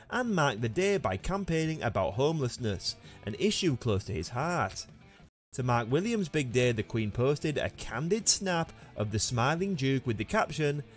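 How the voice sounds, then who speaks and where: Male news narrator for scripted reads: formal, mid-pitched, very varied intonation, light music bed. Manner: formal, read-aloud delivery. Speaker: narrator of a royal news channel. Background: background music.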